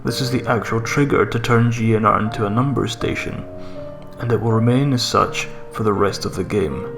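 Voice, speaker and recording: A man narrating a scripted horror story in a measured, deliberate way, as a creepypasta narrator. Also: on the low side. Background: background music.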